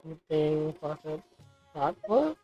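Casual female playthrough voice, lowish pitch, leisurely delivery, reading and commenting over game music. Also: big pitch swings, very slow, muffled recording. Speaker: gamer reading on-screen text and commenting.

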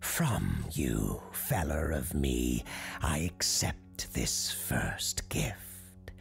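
A woman's voice: very deep voice, sing-song, gravelly, faint music behind, exaggerated voice. Woman voicing an ancient whispering tree spirit. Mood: solemn. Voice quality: hushed, whispery, slow and stately. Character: ancient solemn talking Christmas tree spirit. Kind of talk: audio drama storytelling.

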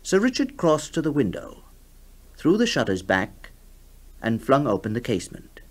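Animated male ghost-story narrator. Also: mid-range voice, moderate pace. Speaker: narrator and voice actor. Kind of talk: dramatic scripted narration of a ghost story, 1960s radio.

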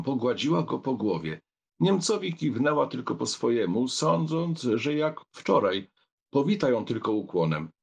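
A man's voice: medium pitch, creaky, slightly rough. A man reading literary narration expressively as an audiobook narrator.

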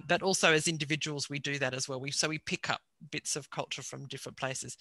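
Bright, earnest older woman on headset. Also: deep voice, no echo. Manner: earnest, explanatory, frequent ums. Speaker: guest speaker. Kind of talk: lecture with audience questions. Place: home study with bookshelves. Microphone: headset on video call.

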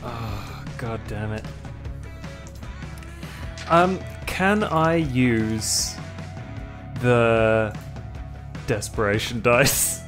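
A man playing a tabletop game, talking casually in a halting, deliberate way. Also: mid-range voice. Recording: clear guitar music behind voice. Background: guitar music.

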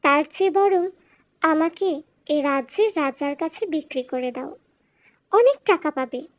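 A woman's voice: high-pitched, lively intonation, a little slow. A woman voicing a small magical talking bird in a cartoon fairy tale, pleading yet clever, with an earnest, polite and persuasive manner.

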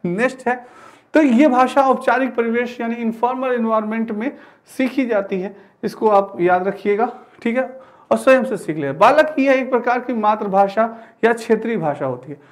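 A man's voice: very high-pitched, moderate pace. A man in his thirties giving an explanatory classroom lecture, repeating phrases and asking rhetorical questions. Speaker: teacher giving an exam-prep class. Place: indoor studio with digital teaching board.

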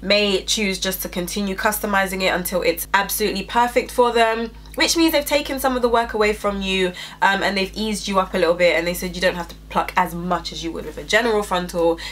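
Stuffy-nosed woman chatting brightly. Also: mid-range voice, brisk, hardly any pauses. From England. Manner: chatty, upbeat, addressing viewers directly. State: congested, stuffy-nosed from a cold. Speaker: beauty vlogger. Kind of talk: casual product review. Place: small indoor room at home.

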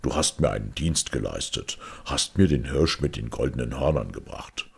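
A man playing a stern, overbearing fairy-tale king with a deep, gravelly voice and a commanding, menacing manner. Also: expressive, normal speed, full character voice.

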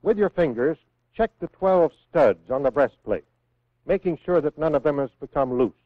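A man's voice: fairly high pitch, lively intonation, no room sound. Phone-like vintage narration, American man. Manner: measured, instructive, clearly enunciated. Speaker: training film narrator. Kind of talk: scripted instructional narration, 1960s military training film. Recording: phone-like vintage 1960s film soundtrack.

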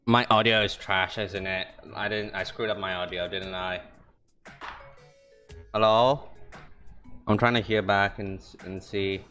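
Young male streamer, lowish voice, rambling self-mocking chatter, faint music behind. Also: fairly even intonation, unhurried, pauses often, bright, forceful tone. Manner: rambling, self-mocking, yelps high when fighting. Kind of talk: casual gaming stream commentary.

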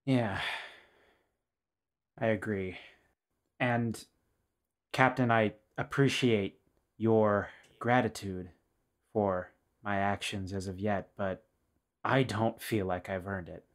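A man acts out a self-doubting assassin in a tabletop pirate adventure. His voice is fairly low. He speaks in a measured, reflective, understated way, like someone who keeps his guard up. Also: fairly even intonation. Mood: melancholy, confessional.

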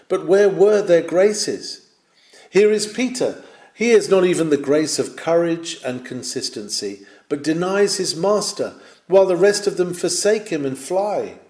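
A man's voice: a little high, darkish tone. Man reading an old sermon, roomy echo. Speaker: sermon reader. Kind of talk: reading a 19th-century sermon aloud.

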